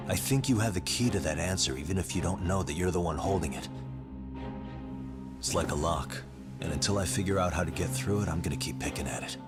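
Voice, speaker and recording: Game-dub casting: man playing a dogged, cool private detective, very low voice, deliberate questioning, music underneath. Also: natural voice. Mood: pressing, insistent. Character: persistent adult male private detective, cool and probing. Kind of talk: English-dubbed video game drama.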